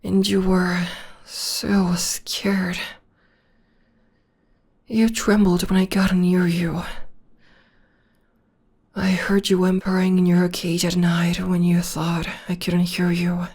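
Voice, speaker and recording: Casting: loving witch girlfriend for comfort ASMR, played by a woman, breathy and low, slow, soothing delivery. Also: flat delivery, heavily acted. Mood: tender, reminiscing. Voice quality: soft, breathy, half-whispered. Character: gentle, loving witch girlfriend comforting her demon partner. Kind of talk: ASMR roleplay, intimate personal attention.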